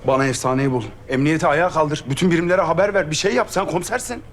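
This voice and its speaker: An actor playing a fretful middle-aged uncle in a TV comedy drama, whiny and pleading. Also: male voice, on the high side, fast, a little hiss or hum, close to an everyday voice. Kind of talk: TV series comedy acting. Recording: music score under dialogue.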